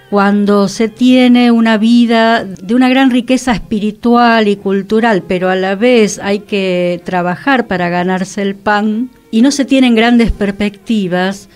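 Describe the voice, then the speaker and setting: A woman's voice: a little high, a little mellow. A woman with a Rioplatense Argentine accent, talking reflectively as a radio host about cultural history.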